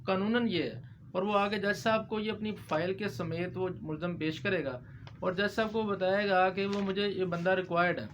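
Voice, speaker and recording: High-pitched Pakistani man explaining law. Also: steady tone, normal speed, a bit bright, slight background noise. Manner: explanatory, mixes in English legal terms. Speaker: lawyer presenting a legal explainer. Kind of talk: informal legal explanation to viewers.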